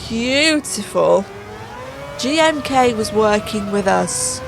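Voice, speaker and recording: Slow, sarcastic woman losing her voice. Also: medium pitch, lively intonation, background noise. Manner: sarcastic, exasperated. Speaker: gaming channel host. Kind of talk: racing game voice-over commentary. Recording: voice over clear background music.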